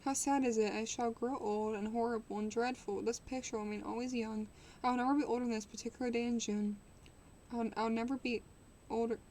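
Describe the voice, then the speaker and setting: A woman's voice: fairly high pitch, steady tone, brisk, a little mellow, slight background noise, close to an everyday voice. A woman voicing a vain young man who dreads growing old, reading in her own voice with small stumbles. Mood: wistful. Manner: reads with small stumbles and restarts. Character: young man, vain, anxious about aging.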